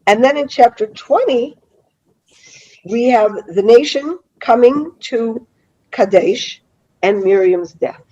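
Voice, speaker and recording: A middle-aged woman with a cold, her voice hoarse and mellow, leads a Bible study over a video call. She talks in a chatty, meandering way with plenty of fillers and restarts. The call audio sounds slightly dull. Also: fairly high pitch, expressive, slow, long pauses. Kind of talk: online Bible class lecture.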